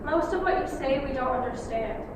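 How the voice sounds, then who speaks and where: Actress as a curt, evasive woman, high voice, stage audio in an echoey hall with room noise. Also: animated, fairly quick, recorded from a distance. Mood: guarded. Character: guarded, blunt woman accused of killing.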